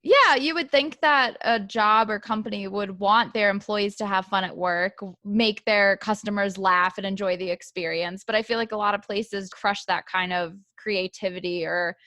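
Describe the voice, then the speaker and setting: A woman's voice: medium pitch, normal speed, few pauses, sharp tone, no room sound. Woman podcast host, dry close sound. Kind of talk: casual interview chat.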